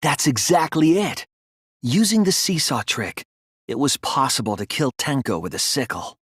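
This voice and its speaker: A man's voice: medium pitch, big pitch swings, normal speed, a bit bright, husky, dry recording, studio quality. A man playing an earnest, careful teenage boy detective in an English video game dub, explaining his deductions with a mix of hesitance and insistence. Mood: analytical.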